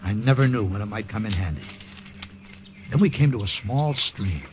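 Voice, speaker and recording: An actor playing a jokey, excitable American lieutenant telling his war adventure in an old radio drama. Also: lowish voice, normal speed, background noise, natural voice. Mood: wry narrating. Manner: jokey, conversational. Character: wisecracking male American lieutenant, excitable storyteller. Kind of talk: 1940s-50s radio drama acting and narration. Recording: vintage radio recording.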